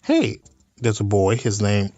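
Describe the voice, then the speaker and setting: A man doing a casual let's play, reading visual novel text aloud haltingly and adding amused asides. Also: lowish voice. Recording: music playing behind the voice. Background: music.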